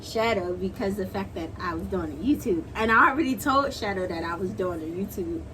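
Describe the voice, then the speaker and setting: A young American woman talks casually in a medium-pitched voice. Her delivery is slangy and halting, like a friend giving her side. Clear background noise runs behind her. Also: pushed and bright, poor audio. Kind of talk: casual chat.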